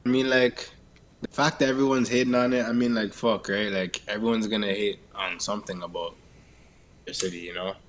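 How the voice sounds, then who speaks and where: A man with a Toronto accent answering interview questions in casual, sweary, slang-heavy talk, halting and leaning on repeated tag phrases. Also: lowish voice, steady tone. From Canada. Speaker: interviewee, musician.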